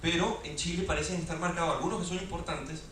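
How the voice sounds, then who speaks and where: A man's voice: fairly high pitch, steady tone, quick pace, fairly bright tone. A man from Venezuela moderating a panel, speaking hesitantly with many fillers. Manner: hesitant, filler-heavy questions. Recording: echoey hall, distant mic, faint noise.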